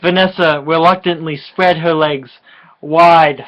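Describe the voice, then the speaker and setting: A man's voice: on the high side, fairly even intonation, takes it slowly, a bit bright, lo-fi sound. A slightly tipsy man bantering casually and reading aloud for comic effect in a crude, teasing, informal way. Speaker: co-host of a dramatic reading video.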